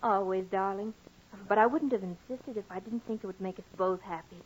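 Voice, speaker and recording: A spoiled young woman in love played by an actress in a vintage radio play. She coaxes and playfully commands in a medium-pitched voice, on a dull, old recording. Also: brisk, slightly rough, close to an everyday voice. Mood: pleased, insistent. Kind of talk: 1940s radio drama acting.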